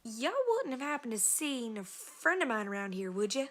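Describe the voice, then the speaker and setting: A woman's voice: fairly high pitch, sing-song, moderate pace, fairly bright tone. A woman voicing an earnest country farm mare with a folksy rural Southern American drawl and polite, flustered manners.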